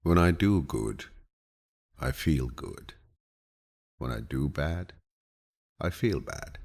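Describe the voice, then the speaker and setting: A man with a deep, low voice reads quotations as an audiobook in a calm, measured, grandfatherly way. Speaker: audiobook narrator. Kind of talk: scripted audiobook reading of quotations.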